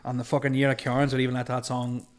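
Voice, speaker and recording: A man's voice: medium pitch, completely flat delivery, fast talker. A man hosting a casual banter podcast, rambling and crude, laughing often. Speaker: podcast host.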